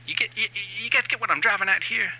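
A man's voice: sits high, vocal fry, bright, forceful tone, heavily acted, rough recording. A man playing a self-important, sleazy new wave band frontman in a rambling, conversational comic monologue. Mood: pitching, smug. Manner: rambling, smug, halting asides. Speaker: writer and reader of a comic fiction series.

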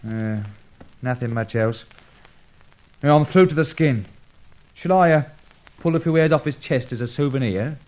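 An actor playing a slangy, slightly dim crook's sidekick in an old radio serial, deferential, colloquial and hesitant. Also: male voice, mid-range voice, normal speed, pauses often, darkish tone, dull audio, natural voice. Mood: cheeky, rough. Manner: colloquial, hesitant, plain-spoken.

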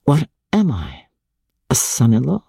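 Female reader as lovelorn young gentleman in a storybook drama: husky, mellow, brooding, hesitant. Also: a bass voice, huge pitch swings, a little slow, no echo, studio quality, exaggerated voice. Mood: restless. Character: pensive, lovelorn young gentleman musing to himself.

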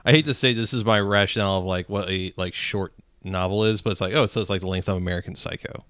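A man's voice: low-pitched, fairly even intonation, fast talker, fairly bright tone, clean tone, no room sound, clean studio sound. A man co-hosting a podcast, chatting casually in rambling, joking riffs. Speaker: podcast co-host.

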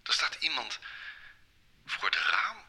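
An actor playing an earnest, anxious religious man who speaks haltingly in a live radio play. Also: medium pitch, sing-song, pushed and bright, breathy edge, exaggerated voice. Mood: hesitant, troubled. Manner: hesitant, halting, questioning. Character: earnest, anxious religious male holiday-park guest. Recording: live theatre stage recording.

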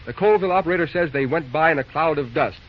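An earnest railroad dispatcher, acted by a man talks in a slightly high voice, businesslike and plain-spoken. It is old-time radio drama, recorded dully with a little noise. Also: fast, muffled recording, close to an everyday voice. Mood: confident. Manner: businesslike, colloquial.

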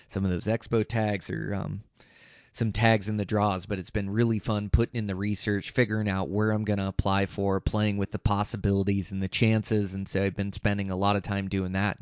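An American man hosting a podcast, talking casually in a rambling, informal way, tired and a little hoarse. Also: lowish voice, a little mellow. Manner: rambling, informal, hesitant phrasing. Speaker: podcast host. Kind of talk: casual podcast outro monologue. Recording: echoey room, slightly dull sound.

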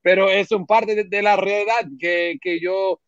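A man whose first language is English, speaking with a slightly raised pitch and pausing often to search for words. He restarts phrases and fills gaps with soft hesitations. The audio is dull and dry, like a remote call. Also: fairly even intonation, no echo. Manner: halting, many restarts and filler sounds. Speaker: podcast guest, baseball reporter. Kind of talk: casual interview talk in a second language.

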